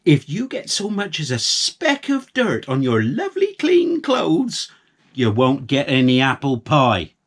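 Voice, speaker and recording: Man putting on a high-pitched bossy farmer's wife. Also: wildly swooping pitch, exaggerated voice. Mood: scolding. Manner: nagging, commanding, drawn-out emphasis. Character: bossy, scolding farmer's wife, fussy about clean clothes. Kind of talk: children's storytelling.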